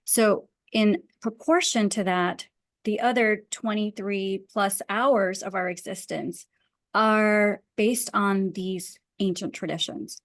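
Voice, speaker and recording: A woman's voice: medium pitch, dry recording. A woman speaking as a guest expert in an interview, explaining her ideas conversationally in an earnest, emphatic way. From Pakistan. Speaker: guest wellness and design expert.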